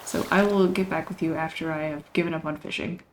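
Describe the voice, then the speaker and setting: A chatty woman, somewhat hoarse from losing her voice, rambling through casual gameplay commentary with frequent laughs. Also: sits low, fairly even intonation, fast talker, noisy background. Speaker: let's play host. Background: game music.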